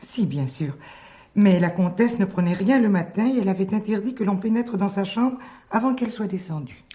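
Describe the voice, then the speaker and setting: A woman's voice: mid-range voice, animated, fairly quick, warm tone, lightly acted. A woman playing a discreet, polite manageress of a retirement home with a formal manner in a French play. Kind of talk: French radio play acting.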